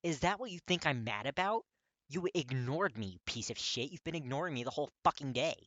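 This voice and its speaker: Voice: mid-range voice, animated, fairly quick, fairly bright tone, dry recording, highs cut off, voice pushed up, close to an everyday voice. A performer voicing a hot-tempered, foul-mouthed teenage boy with a harsh, abrupt, aggressive delivery. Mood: furious.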